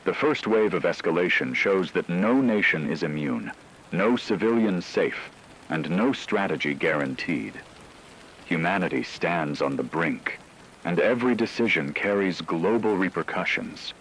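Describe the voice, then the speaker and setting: A man playing a grave radio news anchor, delivering a scripted dramatic war broadcast in a solemn, measured, emphatic way. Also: sits low, animated, a bit bright, a little hiss or hum, full character voice, low-quality recording. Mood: grave, urgent.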